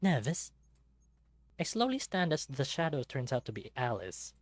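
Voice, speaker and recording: A man's voice: medium pitch, wildly swooping pitch, a little fast, dry recording. A man reading scripted visual novel narration as the storyteller. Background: music.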